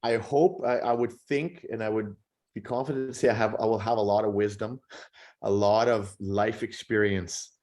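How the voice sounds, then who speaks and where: A man in his thirties answering interview questions in a relaxed, reflective way, often repeating words as he gathers his thoughts. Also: lowish voice, moderate pace. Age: 30s. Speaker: podcast guest.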